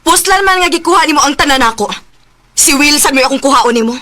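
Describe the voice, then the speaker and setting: An actress playing a resentful, scheming sister in a radio melodrama, with a spiteful manner. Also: female voice, high voice, expressive, fast talker, sharp tone. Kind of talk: melodramatic radio drama acting.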